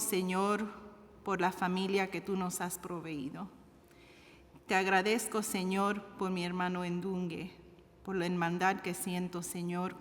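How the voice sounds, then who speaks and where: A woman pastor introducing a guest and leading a prayer, speaking slowly and repeating her address to God between phrases. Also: fairly low pitch. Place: church sanctuary. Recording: long church hall reverb with faint room noise.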